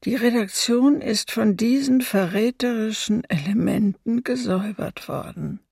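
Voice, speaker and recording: A woman voicing a stern wartime newspaper editorial in a radio docudrama, formal and measured, with a breathy voice. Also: fairly high pitch, a little mellow, no room sound, clean studio sound, exaggerated voice. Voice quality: creaky, breathy. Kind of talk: document reading in radio docudrama.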